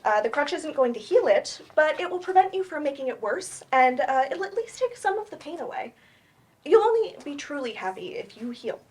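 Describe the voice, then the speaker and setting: A woman reading the part of a patient, earnest therapist in a staged play, reassuring and gently firm. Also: high-pitched, very animated. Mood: concerned, reassuring. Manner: reassuring, measured. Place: library event room, staged reading.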